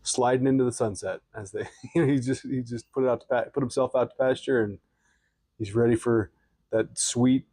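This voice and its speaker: A man's voice: medium pitch, steady tone, brisk, mellow, no echo. A man in his late thirties co-hosting a podcast, joking and teasing in casual chat, laughing often. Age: 30s. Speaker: podcast co-host.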